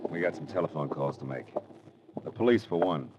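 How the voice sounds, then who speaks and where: An American actor playing a hardboiled, world-weary private detective in 1940s radio drama style, clipped and colloquial. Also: male voice, extremely low pitch, animated, a little fast, very noisy. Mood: wry, grim. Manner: clipped, colloquial tough-guy delivery. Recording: dull old radio recording, music behind.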